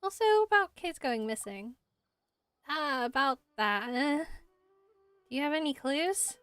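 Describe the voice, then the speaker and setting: A woman's voice: high voice, animated, a little slow, a bit bright, no echo, faint music behind. A woman reading through game dialogue aloud as an amateur voice actor and player.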